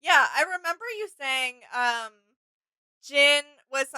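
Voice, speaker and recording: A woman's voice: high voice, takes it slowly, pushed and bright, no echo. A woman chatting casually as the co-host of a reaction show.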